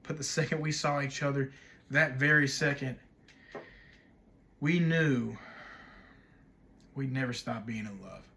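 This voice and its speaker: A man performing a dramatic stage monologue as a wistful man recalling young love, telling it reflectively in short, measured phrases. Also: medium pitch, vocal fry, bright, forceful tone, slight background noise. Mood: wistful, hushed. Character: wistful man haunted by a childhood night of love.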